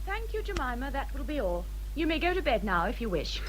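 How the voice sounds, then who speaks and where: An English actress playing a self-important, fretful young married gentlewoman in a period radio drama, plaintive and gushing. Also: high voice, sing-song, fairly quick, noisy background.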